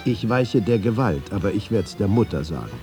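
Male reader for radio anecdote, low voice, scripted storytelling, clear music bed. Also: big pitch swings, moderate pace. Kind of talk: scripted storytelling with quoted dialogue.

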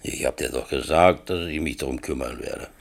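An actor playing a grumpy, weary, stubborn old man in a German radio play, gravelly and curt, muttering his grumbles. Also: rumbling low, moderate pace, no room sound, exaggerated voice. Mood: gruff, surprised. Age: older.

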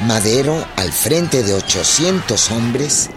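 A man's voice: mid-range voice, expressive, slow pace, over music. A man delivering dramatic scripted historical narration for a radio play.

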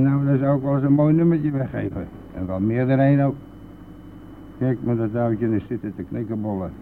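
An actor playing a brash, defensive bus driver in a Dutch radio play, blustering and scoffing in colloquial speech. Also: male voice, lowish voice, natural voice. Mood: mocking, muttering. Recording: tinny band-limited old radio recording, noisy.

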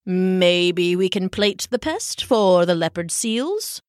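A woman's voice: lowish voice, expressive, slow pace, slight background noise. A woman voicing an elderly male penguin who is bumbling, pompous and earnest, with hesitant, alliterative phrasing, for a children's story. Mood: pondering.

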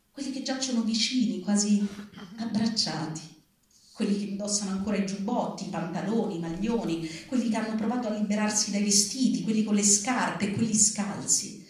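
A woman reads grave literary narrative aloud with measured, solemn phrasing. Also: mid-range voice. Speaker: reader at a public literary reading. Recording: very echoey room, distant from the mic.

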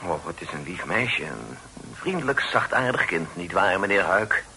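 An actor playing a humble, effusively grateful amateur poet in a period radio play, with deferential, wordy, old-fashioned speech. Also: male voice, low voice, very animated, moderate pace, sharp tone, exaggerated voice. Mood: pleased, chatty. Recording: noisy old radio drama recording.

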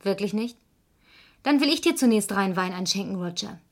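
A woman's voice: medium pitch, animated, fairly quick, close to an everyday voice. An actress playing a resolute, reproachful woman who confronts others pointedly and dramatically in a vintage German crime radio play. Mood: cool, reproachful.

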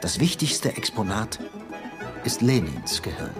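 A man's voice: lowish voice, big pitch swings, measured pace. Radio play role: scheming male security chief, conspiratorial, commanding, very varied intonation, music underneath. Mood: explaining. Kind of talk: radio drama acting.

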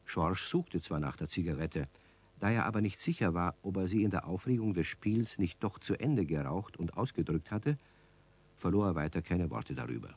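A man's voice: deep voice, expressive, moderate pace, darkish tone, breathy edge, full character voice. A man acting as narrator of a 1970s children's radio play, reading a scripted tale as a storyteller. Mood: calm storytelling.

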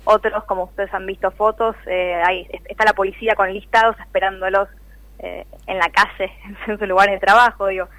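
An Argentine woman explains things in a spontaneous radio interview, earnest and conversational. Her voice sits a little low for a woman, and the sound is very dry, with no room around it. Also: level tone, brisk, fairly bright tone, no room sound, poor audio. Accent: Argentine, Rioplatense. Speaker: interviewee, layoff-tracking activist.

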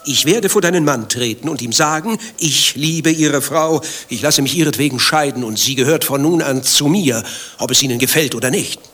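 An actor playing a vain, easily riled adulterer in a satirical German radio play, long-winded and self-justifying. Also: male voice, medium pitch, normal speed, fairly bright tone, natural voice. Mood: boastful. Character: vain, thin-skinned adulterer waiting for his lover.

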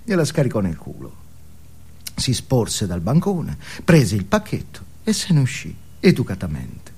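A male actor playing a guru who tells a parable in deliberate, suspenseful theatrical style. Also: on the low side, wildly swooping pitch, a little mellow, slightly rough, full character voice. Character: manic guru as hushed, folksy male storyteller. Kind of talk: dramatic theatrical storytelling.

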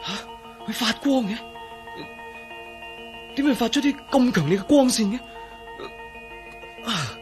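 Highly expressive, troubled Cantonese man. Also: a little slow, pauses often, soft background music. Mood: amazed, wary. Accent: Hong Kong Cantonese. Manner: sighing, hesitant, excitable and dramatic. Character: troubled man named Ah-Yin, turned invisible, recounting his ordeal. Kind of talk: radio drama acting.

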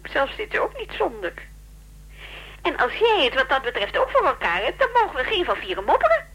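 An actress playing a brisk, self-assured woman with a matter-of-fact, pointed manner, in a Dutch radio play. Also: high-pitched, wildly swooping pitch, a bit bright, heavily acted, low-quality recording.

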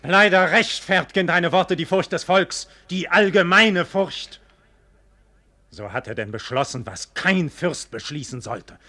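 A man's voice: high voice, sharp tone, slightly rough, heavily acted. An actor playing a proud, frank nobleman with emphatic, declamatory delivery in a 1940s German radio drama. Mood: indignant, impassioned. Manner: declamatory, rhetorical, emphatic diction. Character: proud, frank, impassioned nobleman defending his people.

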